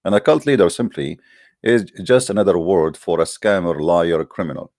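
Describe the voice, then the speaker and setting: Low-voiced man. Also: expressive, normal speed, darkish tone, no echo, clean studio sound. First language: Arabic. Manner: hesitant, many fillers and restarts, firm and challenging. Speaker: host of a live call-in debate show. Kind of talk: live call-in show monologue.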